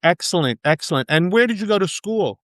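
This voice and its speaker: A man hosting an interview podcast, reading a formal scripted introduction and then asking questions in a measured way. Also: high voice, lively intonation, a bit bright, no room sound, studio quality. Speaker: podcast host.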